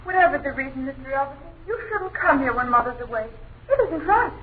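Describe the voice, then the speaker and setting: High-voiced, frightened young daughter. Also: female voice, animated, fast talker. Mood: uneasy. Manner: proper, anxious, pleading. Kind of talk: 1930s radio horror acting. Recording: tinny, hissy 1930s radio recording.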